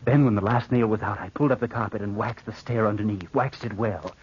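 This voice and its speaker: Low-voiced scheming nephew, acted by a man, vintage radio. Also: close to an everyday voice. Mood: sinister, careful. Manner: slow, deliberate, hushed narration and hesitant dialogue. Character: scheming nephew, coldly plotting his aunt's murder. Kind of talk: old-time radio drama acting. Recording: muffled vintage radio recording with faint noise.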